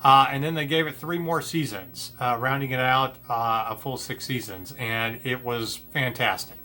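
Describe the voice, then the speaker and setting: A man hosting an entertainment show, talking casually to his audience in a halting, conversational way, often repeating phrases. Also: mid-range voice, bright, forceful tone. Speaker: host of an entertainment news show. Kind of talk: casual opinion talk to the audience.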